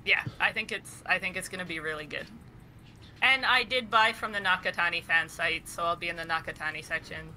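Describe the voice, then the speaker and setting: Bright-voiced chatty woman. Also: on the low side, brisk, a little hiss or hum, low-quality recording. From Canada. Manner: chatty, laughs often. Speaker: podcast co-host. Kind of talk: casual chat.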